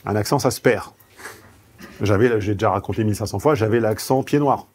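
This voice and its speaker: A man's voice: on the low side, brisk, husky. Frank, salty-tongued seminar speaker man. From southeastern France. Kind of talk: seminar talk.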